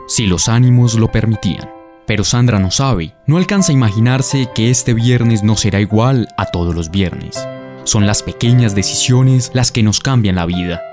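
A man acts as a solemn storyteller for a radio soap opera. The voice is low and dramatic, laid over clear background music. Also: darkish tone, natural voice. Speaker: narrator. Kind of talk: scripted dramatic narration.

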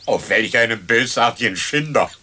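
An actor playing a roguish dead horse thief in a radio play, mocking and drawling. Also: male voice, mid-range voice, lively intonation, moderate pace, sharp tone. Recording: noisy old radio recording.

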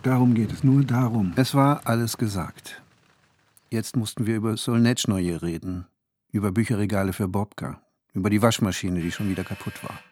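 A man's voice: fairly low pitch, fairly even intonation, darkish tone, slightly rough. An actor playing an anxious, introspective married man who tells his own story in a radio drama. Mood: relieved, subdued. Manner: introspective, switches from measured narration to agitated pleading. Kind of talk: radio drama narration and dialogue.

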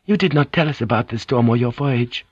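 A man's voice: mid-range voice, fairly quick, darkish tone, husky, no echo, highs cut off, close to an everyday voice. A man voicing a young Japanese noblewoman, an earnest interpreter who speaks politely and with formal care. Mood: polite, measured. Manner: formal, careful phrasing.

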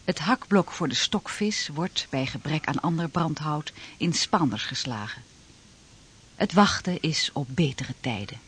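A man's voice: on the high side, expressive, measured pace, fairly bright tone, breathy edge. A man narrating a radio drama from a script, telling the story in a dramatic style.

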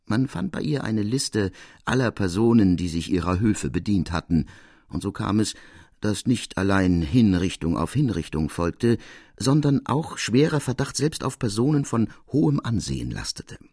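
A man reading a classic literary tale as narrator, in measured, formal storytelling prose. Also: lowish voice, very animated, darkish tone, husky, no room sound, clean studio sound.

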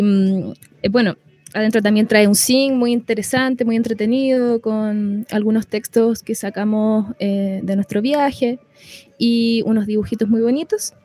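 A woman's voice: mid-range voice, dark tone. A woman with a Chilean accent speaking as a musician guest in a casual interview, informal and a little hesitant in her phrasing.

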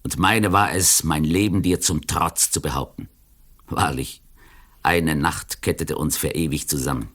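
An actor in a radio play as a cynical, taunting older criminal, speaking in a deliberate, eloquent, mocking way. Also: male voice, rumbling low, steady tone, fairly bright tone, heavily acted. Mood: bitter, sardonic.

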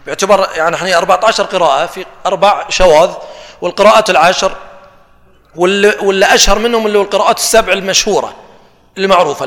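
High-voiced fast-talking man. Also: fairly bright tone. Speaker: moderator. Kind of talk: panel discussion. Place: discussion forum in a hall. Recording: reverberant hall sound.

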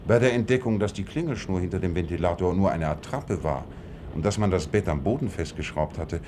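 A man acting in a radio play as a shrewd, composed detective, laying out deductions in a measured, self-assured way. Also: sits low, noisy background, lightly acted. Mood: explanatory, assured.

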